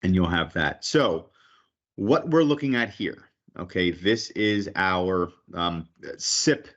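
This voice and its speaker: Male presenter, lowish voice, casual webinar demo delivery, filler-heavy, dull lo-fi audio. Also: lively intonation, slow, fairly bright tone. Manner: casual, frequent "okay" and "um" fillers. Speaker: product presenter. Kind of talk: webinar product walkthrough.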